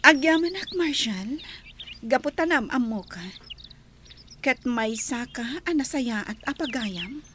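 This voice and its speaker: Stressed orphaned young woman in a radio drama, really high animated voice, noisy track with birds. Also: takes it slowly, exaggerated voice. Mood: sorrowful. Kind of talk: Ilocano radio drama acting. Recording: clear background noise with birdsong.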